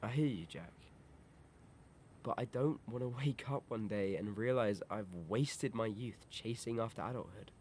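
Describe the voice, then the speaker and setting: Low-voiced wistful teenage boy played by a man. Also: moderate pace, a little mellow, slight background noise, lightly acted. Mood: sincere, thoughtful. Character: Peter, wistful teenage boy attached to his best friend. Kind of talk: audio drama dialogue.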